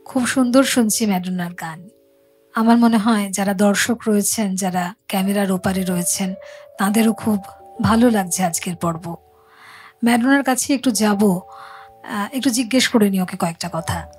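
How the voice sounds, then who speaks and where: A woman's voice: medium pitch, moderate pace, darkish tone, slightly rough, faint music behind. Woman host. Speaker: show host interviewing a singer. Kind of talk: conversational interview.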